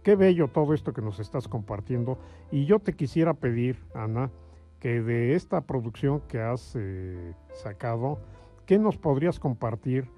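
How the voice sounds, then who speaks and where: A man hosting a music interview, phrasing his thoughts in a halting, drawn-out and effusive way. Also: medium pitch, mellow. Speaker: interview show host.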